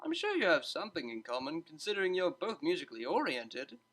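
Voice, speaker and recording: An upbeat stallion tutor acted by a woman in a storybook reading. He is breezy and coaxing, with a lively, swooping pitch and bright tone. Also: fairly quick, exaggerated voice. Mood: placating. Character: cheerful, meddling male pony psychology tutor, unflappable.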